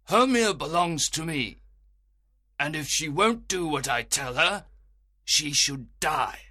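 A man's voice: on the high side, expressive, takes it slowly, pushed and bright, no room sound, studio quality, pitched above the performer's own voice, full character voice. A man voicing a stern old father who insists on being obeyed, in a storybook reading. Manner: insistent, aggrieved.

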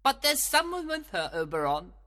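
A man voicing a mischievous, eager fairy servant in a storybook, with an animated, giggly delivery. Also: high voice, measured pace, long reverb tail, voice pushed up, heavily acted. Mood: gleeful. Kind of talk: storybook character voice.

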